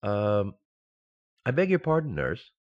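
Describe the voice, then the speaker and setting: An actor playing a naive, excitable expectant husband in an old-time radio sitcom, earnest and flustered. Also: male voice, lowish voice, takes it slowly, muffled recording, exaggerated voice.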